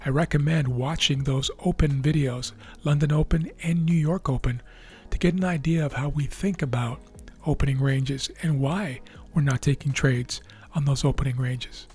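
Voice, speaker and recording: A man with a raspy, tired voice, hosting a trading video and explaining live charts in a measured way with frequent fillers and restarts. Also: mid-range voice, fairly even intonation, darkish tone, faint music behind. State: losing his voice.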